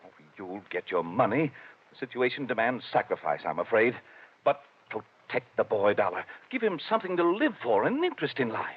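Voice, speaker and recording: A man's voice: mid-range voice, very animated, a little fast, husky. A man playing a fussy, anxious insurance company manager in an old-time radio drama, speaking in a prim, formal, emphatic way. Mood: earnest, resigned. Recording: dull lo-fi radio sound.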